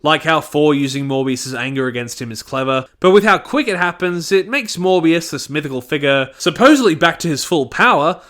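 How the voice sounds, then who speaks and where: Animated, higher-pitched man reviewing. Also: fairly quick, hardly any pauses. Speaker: audio drama reviewer. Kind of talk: scripted review narration.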